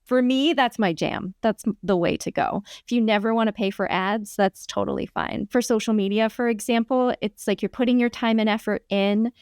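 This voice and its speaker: A woman speaks as a podcast guest, explaining things in a friendly, reassuring way. Her voice is smooth and clear at an ordinary pitch, recorded close and dry. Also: moderate pace, hardly any pauses, a little mellow, dry recording. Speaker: marketing coach, podcast guest. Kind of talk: conversational podcast interview.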